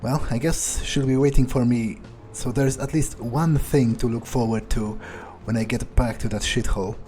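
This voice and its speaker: A man role-playing a sardonic male vampire who thinks aloud in a halting, musing way during a game playthrough. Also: medium pitch, steady tone, mellow, soft background music, lightly acted. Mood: scheming. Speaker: gamer role-playing a character. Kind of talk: in-character improvised game playthrough monologue.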